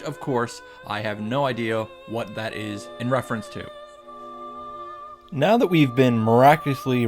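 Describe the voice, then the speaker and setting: A man giving a sarcastic, profane recap and commentary on a visual novel, as the host of a video. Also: medium pitch. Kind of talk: game recap with commentary, reading lines aloud.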